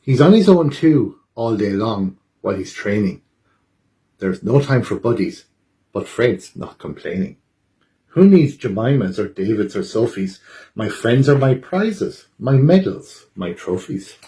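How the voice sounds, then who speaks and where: A man reading a children's picture book aloud at a library storytime, with dramatic, expressive delivery. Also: lowish voice, a little slow.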